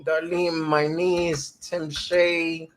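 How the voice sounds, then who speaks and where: A man's voice: fairly high pitch, level tone, very slow, a little hiss or hum. A man hosting a casual livestream interview, laid-back and chatty, greeting his viewers. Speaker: host.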